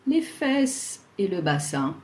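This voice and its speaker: A woman leading a guided yoga relaxation, speaking soothingly and leaving long pauses between short instructions. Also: mid-range voice, big pitch swings, a little mellow.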